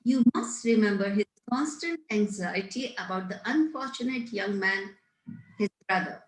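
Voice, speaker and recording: A woman's voice: medium pitch, moderate pace, natural voice. A woman playing a prim, pompous older governess in a table read of a Victorian comedy, formal, didactic and scolding. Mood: disapproving, lofty. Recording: roomy, echoey home recording.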